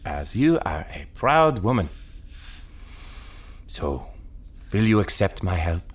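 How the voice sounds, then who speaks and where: A man's voice: sits low, big pitch swings, takes it slowly, slightly rough, exaggerated voice. An actor playing a proud, controlling husband and father in an audio drama. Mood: firm, insistent.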